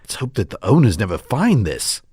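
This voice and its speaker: Guy doing genteel nosy small town man, precise and polite, really animated pitch, improv radio drama. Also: moderate pace, husky, no echo, clean studio sound, exaggerated voice. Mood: curious, marveling. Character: genteel, curious, refined coffee roaster man.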